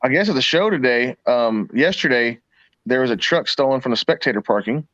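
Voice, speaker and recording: A man's voice: mid-range voice, lively intonation, a little fast, fairly bright tone, no room sound. A man from Texas telling blunt, profane driving stories in casual car talk. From Texas, Dallas-Fort Worth area. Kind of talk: casual storytelling. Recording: slightly dull dry line.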